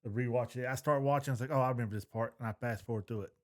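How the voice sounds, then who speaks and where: A man's voice: mid-range voice, rapid-fire. Middle-aged man chatting casually. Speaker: podcast co-host. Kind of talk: casual chat.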